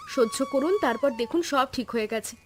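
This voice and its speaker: A woman voicing a practical, caring village wife in a Bengali cartoon story, brisk and affectionately scolding. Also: sits high, wildly swooping pitch. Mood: nursing, reassuring.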